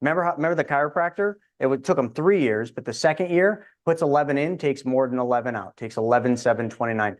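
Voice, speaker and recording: A man speaking as a guest in a casual interview, explaining in a confident, quick way with restarts. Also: mid-range voice. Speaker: insurance advisor guest.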